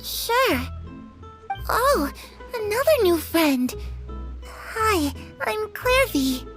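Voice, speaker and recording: A woman voicing an eerie, lonely little orphan girl in an anime game, soft and hesitant. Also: extremely high pitch, very animated, drawn-out words, over music, full character voice. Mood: innocent.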